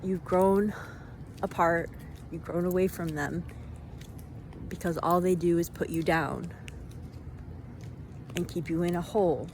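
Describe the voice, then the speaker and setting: Reflective woman, halting phrases, faint background noise. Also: lowish voice, steady tone, darkish tone. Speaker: vlogger giving self-help reflections. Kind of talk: unscripted motivational monologue. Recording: noisy, vehicle-like ambient sound.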